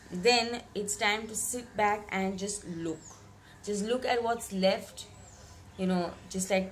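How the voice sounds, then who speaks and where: A young woman, slightly hoarse from a cold, giving a reflective weekly tarot reading to camera in a small room, often repeating words for emphasis. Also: fairly high pitch, a bit bright, a little hiss or hum, rough recording. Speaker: tarot reader. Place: bedroom with curtained window, indoors.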